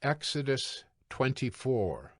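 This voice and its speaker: A man narrating scripture from a script in a solemn, measured storytelling manner. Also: medium pitch, big pitch swings, slight background noise. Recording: prominent music bed behind narration.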